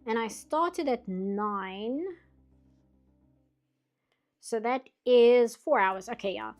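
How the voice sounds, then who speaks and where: A chatty woman from Britain talks while she draws, wandering from topic to topic and laughing often. Her voice sits a little high and is turning slightly hoarse late at night. Faint music plays quietly behind her. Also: very slow. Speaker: streamer drawing on stream. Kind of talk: casual chat while drawing.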